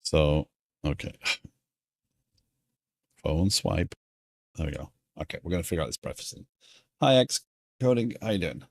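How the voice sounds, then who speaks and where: Deep-voiced British man, softened accent. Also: expressive, measured pace, pauses often, dry recording, clean studio sound. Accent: British, softened by years in America. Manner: thinking aloud, stop-start, chuckling. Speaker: live streamer, programmer. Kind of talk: casual live-stream chat while coding.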